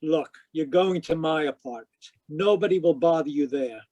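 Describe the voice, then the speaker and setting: An actor playing a hard-boiled private detective in old-time radio style, wry, clipped and matter-of-fact. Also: male voice, on the high side, moderate pace, warm tone, lightly acted. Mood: brisk. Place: home, live video call performance.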